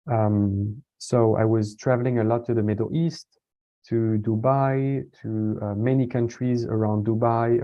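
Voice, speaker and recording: A man from France giving a relaxed online talk and stopping often to restart his sentences. His voice is very dark and mellow. It comes through a dull, lo-fi video call. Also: mid-range voice. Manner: relaxed, hesitant with many fillers and restarts. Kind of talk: casual online talk answering chat. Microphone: computer mic on a video call.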